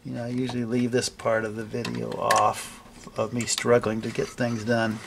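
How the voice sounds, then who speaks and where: An older man casually narrating a hands-on repair, thinking aloud in a halting, unscripted way. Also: medium pitch, monotone, vocal fry, background noise. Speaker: hobbyist restorer presenting a repair video. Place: indoor basement-like home workshop.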